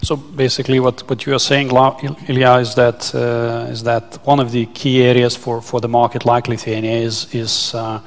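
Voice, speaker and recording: Male panel moderator with vocal fry, even intonation and a stop-start delivery, in a reverberant hall. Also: mid-range voice. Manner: halting, repeats words while forming questions. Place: indoor conference stage. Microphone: headset microphone.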